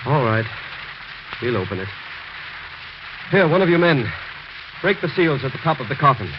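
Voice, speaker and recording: Man acting a grave, expressive doctor in old radio. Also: medium pitch, moderate pace, lightly acted. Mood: reluctant. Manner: grave, reasoning, admonishing. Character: sober, skeptical male doctor, concerned family friend. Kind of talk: 1940s radio drama acting. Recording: noisy, muffled 1940s radio recording.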